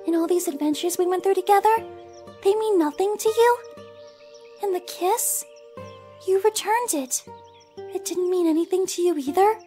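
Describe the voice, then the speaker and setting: A woman voicing a gentle, lovestruck female cartoon pony in an audio drama, soft and girlish, sweet and earnest. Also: very high-pitched, normal speed, long pauses, soft background music. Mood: earnest, tender. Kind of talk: fan audio drama acting.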